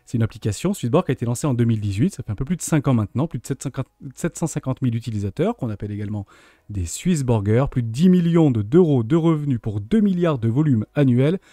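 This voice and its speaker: A man's voice: mid-range voice, expressive, fast, warm tone, no echo, studio quality. A man hosting a video interview, reading a formal introduction and asking questions with enthusiasm. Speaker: host.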